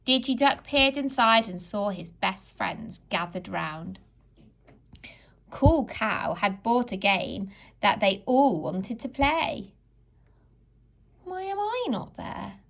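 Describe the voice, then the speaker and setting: A woman narrating a children's storybook like a teacher, in a dramatic, deliberate and expressive way. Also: medium pitch, creaky, low-quality recording.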